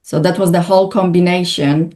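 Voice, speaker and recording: Hesitant woman, low voice. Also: fairly even intonation, brisk, mellow. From Poland. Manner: hesitant, many filler sounds. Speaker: guest. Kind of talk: conversational interview.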